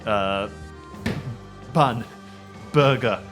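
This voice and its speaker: A man improvising comedic narration as game master, in a halting but animated way, acting out little sound effects. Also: lowish voice, soft background music.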